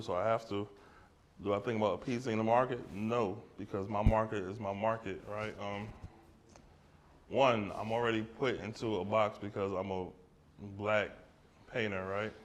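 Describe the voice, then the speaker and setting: A man's voice: lowish voice, fairly even intonation, normal speed. Casual man talking in a gallery. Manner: loose and conversational, often tags "right?" and "like". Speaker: artist discussing his exhibition. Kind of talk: casual gallery talk answering audience questions. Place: art gallery with an audience.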